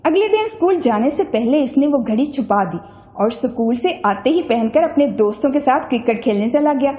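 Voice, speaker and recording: A woman's voice: sits high, lively intonation, normal speed, warm tone. A woman narrating a scripted moral story for children. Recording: roomy echo.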